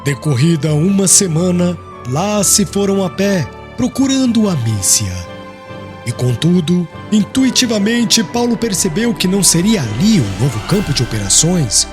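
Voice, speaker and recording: A man's voice: high voice, very animated, unhurried, music in the background. A man narrating an audiobook in a solemn, literary style.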